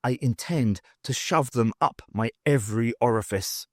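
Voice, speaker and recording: A man's voice: medium pitch, moderate pace, a little mellow, no room sound, studio quality, pitched below the performer's own voice, lightly acted. A man voicing a pompous, furtive and eccentric male art professor with florid speech in an audiobook. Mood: pompous, conspiratorial.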